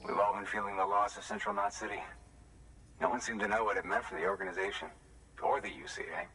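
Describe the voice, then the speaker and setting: Man playing a gravelly, weary outpost worker. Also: fairly low pitch, fairly even intonation, fast, recorded from a distance, a crowd in the background, close to an everyday voice, low-quality recording. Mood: subdued, grateful. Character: weary male way station worker, frustrated yet hopeful. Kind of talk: dramatic video game acting.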